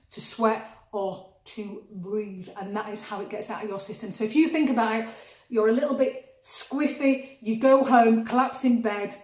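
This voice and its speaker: A woman's voice: fairly high pitch, a little mellow. A woman, a nutritional therapist giving chatty, emphatic health advice straight to camera, often repeating words for stress. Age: 50s. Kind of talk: casual advice vlog to camera. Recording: echoey room.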